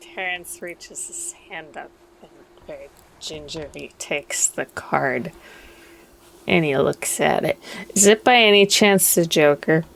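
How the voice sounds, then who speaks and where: A woman's voice: low voice, slow pace, gravelly. A woman playing a tabletop roleplaying game, casually narrating what her character does. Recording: faint noise behind voice.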